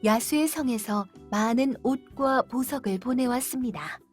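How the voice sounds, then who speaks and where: A woman narrating a children's fairytale in a clear, gentle read-aloud manner. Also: a little high, normal speed, soft background music. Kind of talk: scripted storybook narration.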